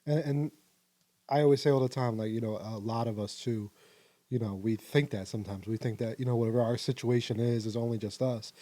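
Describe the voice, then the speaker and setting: A man co-hosting a podcast talks quickly and encouragingly, winding through fillers. His voice is dark and mellow, recorded close and dry. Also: medium pitch, steady tone, no echo. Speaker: podcast co-host. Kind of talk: casual podcast conversation.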